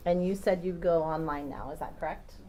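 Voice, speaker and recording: Woman questioning a witness in court, slightly low. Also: fairly even intonation, mellow, a little hiss or hum. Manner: patient, measured questioning with filler ums. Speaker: trial attorney questioning a witness. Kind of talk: courtroom direct examination.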